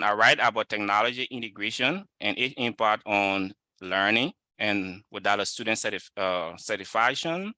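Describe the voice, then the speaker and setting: A man's voice: lowish voice, pushed and bright, no room sound. A man from Liberia with a Liberian accent, giving an informal webinar lecture in an explanatory, emphatic way. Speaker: webinar speaker, student researcher.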